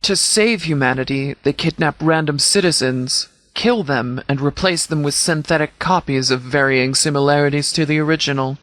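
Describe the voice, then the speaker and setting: A man playing a stern, protective post-apocalyptic sheriff in a video game, speaking in a formal, deliberate, fatherly way. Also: a little high, a bit bright, no echo, natural voice. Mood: solemn briefing. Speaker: video game mod character actor. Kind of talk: scripted game dialogue.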